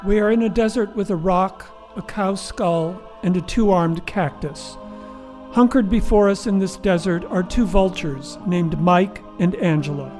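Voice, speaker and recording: A man's voice: sits high, measured pace, a little mellow, soft background music. A man giving scripted narration, setting the scene for an audio play. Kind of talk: scripted stage-direction narration.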